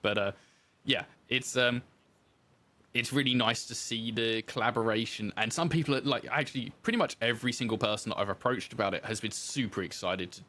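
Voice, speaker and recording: A man's voice: medium pitch, brisk, pushed and bright. A man talking casually as a guest in a podcast interview, telling anecdotes with self-deprecating asides.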